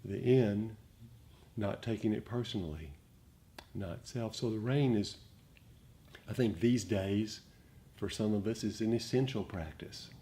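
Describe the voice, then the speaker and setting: A man giving a dharma talk as a meditation teacher, speaking reflectively and gently, with frequent pauses. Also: fairly low pitch. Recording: noticeable background noise.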